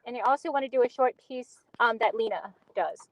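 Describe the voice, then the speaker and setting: A woman's voice: fairly high pitch, brisk, dry recording. A woman auditioning as an actor, casually introducing herself over muffled, lo-fi call audio. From Jacksonville, USA.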